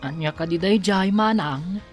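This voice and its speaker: Actor as slow, bashful lovestruck Ilocano servant. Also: male voice, high-pitched, faint music behind. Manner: bashful, hesitant. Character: shy, devoted male servant secretly in love with employer. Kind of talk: Ilocano audio drama acting.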